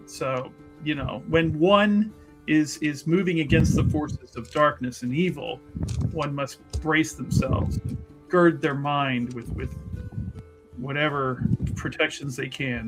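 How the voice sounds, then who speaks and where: A man's voice: on the high side, slow, music in the background, low-quality recording. A man playing a tabletop roleplaying game, narrating a character casually in a wry, discursive way.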